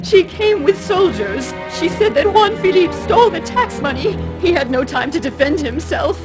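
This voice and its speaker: A very high female voice over prominent music a distraught village wife in a video game. She is humble and imploring, full of desperate deference. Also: lively intonation, normal speed, poor audio. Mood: distressed, urgent. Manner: pleading, tearful, deferential. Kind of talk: video game cutscene acting. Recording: loud music behind the voice.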